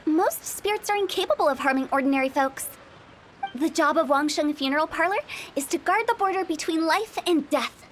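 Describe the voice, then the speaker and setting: A woman's voice: sits high, fairly quick, fairly bright tone, a little hiss or hum. A woman voicing a mischievous young female funeral parlor director in a video game, playful and teasing with spooky asides. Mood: earnest.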